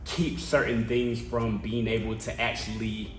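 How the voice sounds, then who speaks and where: Young man from South Carolina, council candidate, earnest and measured with filled pauses; echoey room. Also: on the low side, flat delivery, faint music behind. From Columbia, South Carolina. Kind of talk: interview answers.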